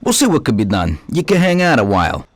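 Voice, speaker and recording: An actor playing a laconic, obliging garage mechanic with a folksy drawl in a video game. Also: male voice, mid-range voice, huge pitch swings, fast talker, no room sound. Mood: laconic, unhurried. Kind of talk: video game cutscene acting.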